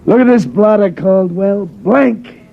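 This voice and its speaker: Gruff-talking skeptical cop sergeant, high voice, bossy, muffled old radio drama. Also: male voice, expressive, slow, full character voice. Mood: indignant, demanding. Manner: brusque, slangy, demanding. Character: blustering, skeptical, hard-nosed police sergeant. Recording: muffled vintage radio recording.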